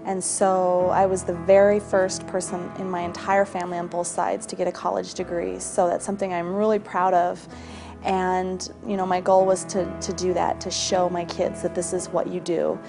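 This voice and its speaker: A middle-aged woman from Wyoming speaking earnestly and in a measured way in an interview. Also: lowish voice, level tone, creaky, over music. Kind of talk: reflective interview testimony.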